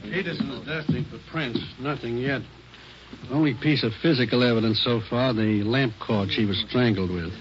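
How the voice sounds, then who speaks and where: Casting: man acting a brisk-mannered forensic lieutenant, mid-pitched, dull old radio recording. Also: faint music behind, natural voice. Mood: businesslike. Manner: clipped, directing. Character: businesslike male police crime lab lieutenant. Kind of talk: 1950s radio police drama.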